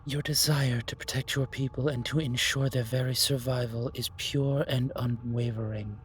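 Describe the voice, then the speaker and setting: A man playing a calm, wise, otherworldly keeper of magic in a fantasy audio roleplay, speaking formally and ceremoniously. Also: mid-range voice, moderate pace, slight background noise, lightly acted. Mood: solemn, ceremonious.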